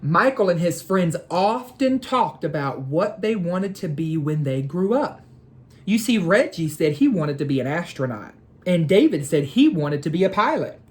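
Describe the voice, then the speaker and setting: A woman educator presenting and reading a children's storybook aloud in a deliberate, measured way. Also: lowish voice, big pitch swings. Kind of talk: storybook read-aloud with introduction.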